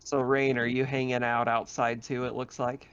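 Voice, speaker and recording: A man's voice: mid-range voice, little pitch movement, moderate pace, fairly bright tone, clear, resonant tone, lo-fi sound. A man narrating a tabletop role-playing game as game master, informal, conversational and improvising hesitantly.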